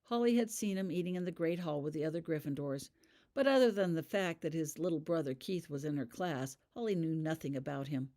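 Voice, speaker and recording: A woman's voice: low-pitched, expressive, a little fast, warm tone. A woman reading scripted fiction aloud as an audiobook narrator. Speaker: author reading their own fan fiction.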